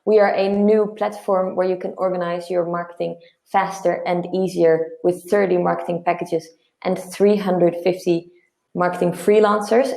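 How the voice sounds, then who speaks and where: A woman's voice: lowish voice, creaky, dark tone, reverberant. A woman hosting an interview as content producer, reading a scripted intro and asking questions.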